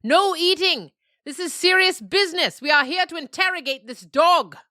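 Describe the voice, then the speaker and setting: Lady doing a bossy mama goat, high, loud-ish, bossing everyone. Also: animated, moderate pace, no room sound, clean studio sound, full character voice. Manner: bossy, commanding. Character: bossy mother nanny goat, herd leader.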